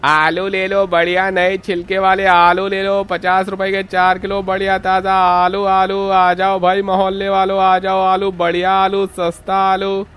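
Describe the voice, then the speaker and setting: A man plays a blunt cartoon street hawker. His pitch is very high and unvarying, and the voice rings clear. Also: completely flat delivery, measured pace, hardly any pauses, a bit bright. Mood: hawking. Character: blunt male street potato seller hawking wares.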